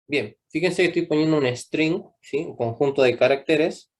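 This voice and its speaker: A man's voice: on the high side, moderate pace. A young man teaching a live coding class, patiently checking in with students after almost every step. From Argentina. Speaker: programming teacher.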